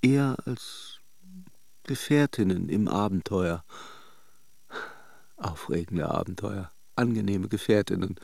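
Radio drama lover: teasing, reflective charmer, highly animated delivery, dry sound. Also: male voice, vocal fry, no room sound, heavily acted. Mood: musing, wry. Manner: teasing and joking, musing when alone. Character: playful, flirtatious Russian philanderer, charming but privately reflective. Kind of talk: radio drama acting in intimate dialogue and monologue.

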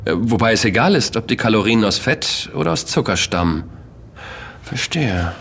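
An actor playing a curious, wry investigator in an audio drama, questioning and remarking conversationally. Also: male voice, lowish voice, sing-song, normal speed, creaky, a bit bright, breathy edge, exaggerated voice. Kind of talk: German audio drama dialogue.

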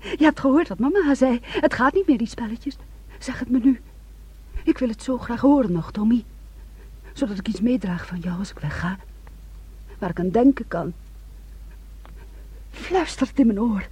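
An actress playing an intense, wounded young woman in a Dutch radio play, hushed and intimate, often slipping toward a whisper, on a muffled old recording. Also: on the high side, lively intonation, moderate pace, long pauses, breathy edge. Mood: pleading.

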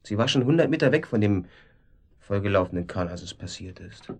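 Domineering man, low husky voice, animated. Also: brisk. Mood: reassuring. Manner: urgent rhetorical questions, swinging from sharp to soothing. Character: domineering, controlling man, cynical and coaxing, hiding guilt. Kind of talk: naturalistic radio drama acting. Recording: studio radio play.

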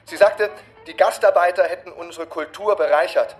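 Man acting a male orator, raised pitch, measured, rhetorical, ironic, radio drama, faint plucked guitar behind. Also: lightly acted. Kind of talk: prepared public speech in radio drama.

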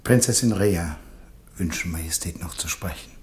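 A man's voice: deep voice, moderate pace, a little mellow, natural voice. An actor playing a deferential imperial valet in a radio play, courteous and formal in his speech.

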